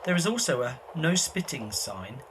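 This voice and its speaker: A man's voice: a little high, very animated, moderate pace, noisy background. A man narrating scripted scenes as stage directions for an audio drama.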